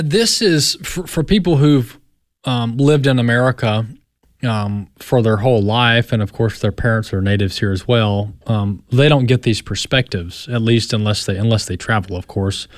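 A man's voice: lowish voice, no room sound, studio quality. A man hosting a radio talk show, introducing a guest and asking long, rambling questions with fillers. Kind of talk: radio interview.

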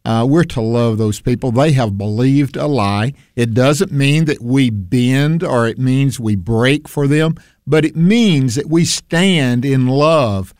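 A preacher co-hosting a faith talk show, loud and passionate, leaning into his words the way he would from a pulpit. His voice sits at a middle pitch. The sound is close and dry, with no echo. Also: takes it slowly. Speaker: preacher and radio co-host. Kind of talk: conversational faith discussion.

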